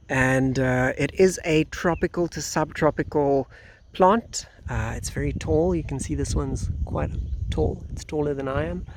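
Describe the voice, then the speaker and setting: A man in his thirties with a South African accent and a slightly hoarse voice, hosting an informal gardening vlog outdoors on a phone. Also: medium pitch, darkish tone. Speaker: gardening video host, nursery gardener. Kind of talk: informal vlog talk to camera. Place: outdoors in a breezy garden nursery. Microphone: phone at arm's length. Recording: outdoor phone recording with faint background noise.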